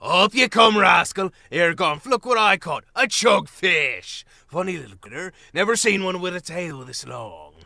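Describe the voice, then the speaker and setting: A man's voice: high-pitched, a little slow, vocal fry, dry recording, studio quality, pitched above the performer's own voice, heavily acted. A man voicing a boisterous male shrew chieftain who bellows orders and sea shanties. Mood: teasing. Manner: loud, jolly, bellowing. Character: boisterous male shrew chieftain, a hearty bass-voiced sailor.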